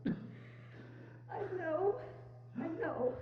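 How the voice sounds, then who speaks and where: A woman playing a weary, loving, grieving mother in a dramatic stage reading, tender and halting. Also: extremely high pitch, expressive, heavily acted. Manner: tender, halting, repeats phrases. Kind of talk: dramatic reading of a Victorian classic. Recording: echoey church hall, dull, hum.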